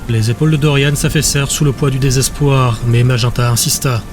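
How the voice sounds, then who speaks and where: A man's voice: medium pitch, fairly even intonation, fairly quick, music in the background. A man giving scripted narration for a fantasy audiobook.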